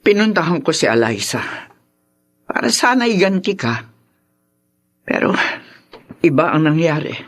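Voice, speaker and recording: A woman's voice: deep voice, sing-song, unhurried, long pauses, heavily acted. An actress playing a haughty, aggrieved middle-aged mother in a radio drama, speaking with indignation.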